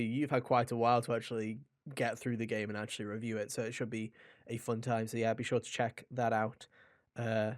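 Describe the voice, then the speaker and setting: A man's voice: medium pitch, no echo. A man hosting a podcast in a casual, chatty way, with a jokey, mock-dramatic manner, close to the microphone. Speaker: podcast host.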